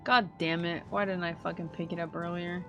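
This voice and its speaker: A woman's voice: fairly low pitch, steady tone, fairly quick, bright, forceful tone, highs cut off. A woman live-streaming a game, chatting casually with viewers in playful, slangy, profane banter. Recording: game music behind the voice. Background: video game music.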